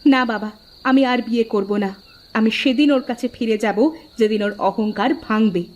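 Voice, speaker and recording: A woman's voice: on the high side, normal speed, natural voice. A woman voicing a stubborn, proud wife who runs a hotel, speaking firmly in a cartoon. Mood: determined. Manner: firm, defiant; loud street-calling.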